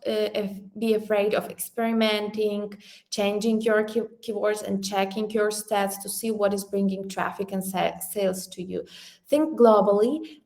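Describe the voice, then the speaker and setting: A woman from Ukraine leads an online workshop over a video call. She speaks slowly and carefully at a middle pitch, pausing on fillers and restarting words as she goes. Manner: slow, hesitant, many fillers and restarts. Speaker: workshop presenter, online seller.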